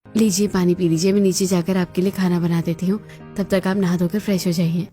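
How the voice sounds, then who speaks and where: A woman's voice: fairly low pitch, flat delivery, brisk, dark tone, soft background music, lightly acted. A woman playing a meek, dutiful newlywed daughter-in-law in a cartoon family drama, speaking politely and deferentially. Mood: caring.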